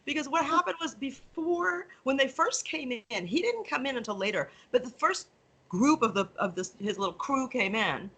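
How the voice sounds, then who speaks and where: A woman with a high, husky voice and lively pitch tells a story to her friend. She laughs often as she talks, and the sound comes from a recorded call. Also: moderate pace. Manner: laughing, telling an anecdote. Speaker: guest. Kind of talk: casual chat with a friend.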